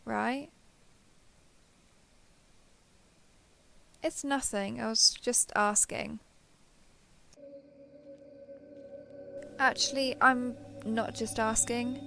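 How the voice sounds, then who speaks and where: A young female alien played by a woman speaks in a high voice laced with creaky fry. She is sheltered, earnest and wheedling, over-explaining herself with an obsessive devotion. The delivery is intimate audio roleplay, aimed straight at the listener. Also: a bit bright, no echo, full character voice. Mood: anxious, pleading.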